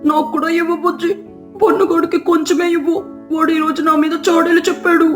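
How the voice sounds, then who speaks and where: Woman voicing very high-pitched whiny little boy crow. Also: flat delivery, brisk, soft background music. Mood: demanding. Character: mischievous young boy crow, whining and blaming his friends. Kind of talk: children's animated story dialogue.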